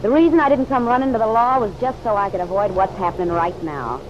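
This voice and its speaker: A woman's voice: mid-range voice, quick pace, lightly acted. A muffled vintage radio recording with faint noise carries an actress as a hard-boiled American woman. She is defiant and sardonic, snapping out curt slang in classic radio drama style. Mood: defiant, cutting. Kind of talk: old-time radio drama acting.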